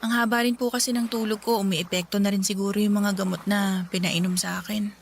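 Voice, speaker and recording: A woman's voice: medium pitch, steady tone, quick pace, gravelly, close to an everyday voice. A woman playing a sick, weary young female office worker confiding in others in a Tagalog radio drama. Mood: weary, puzzled. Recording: some noise behind the voice.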